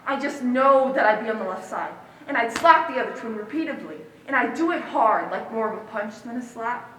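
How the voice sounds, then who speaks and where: A woman's voice: a little high, lively intonation, brisk, fairly bright tone. A woman playing a quirky, aggrieved young woman in a comic stage monologue. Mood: earnest, indignant. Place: stage in a hall. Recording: echoey hall from far off, faint noise.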